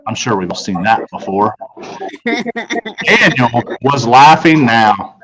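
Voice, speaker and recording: Guy reading a kids novel out loud, average pitch, narrator style. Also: huge pitch swings, slow pace, a crowd in the background, rough recording. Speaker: audiobook narrator. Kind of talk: scripted story narration.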